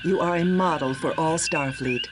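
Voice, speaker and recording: An actor playing an approving space fleet commander in a video game, delivering a formal, measured official message. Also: male voice, on the high side, background noise, lightly acted. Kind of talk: voiced game dialogue.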